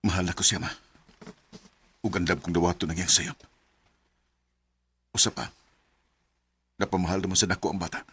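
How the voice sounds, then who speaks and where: A man's voice: sits low, fairly even intonation, normal speed, long pauses, fairly bright tone, husky, heavily acted. An actor playing a wounded, devoted young man in a radio drama, with a Cebuano Filipino accent. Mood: earnest, tender. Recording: music bed behind the voice.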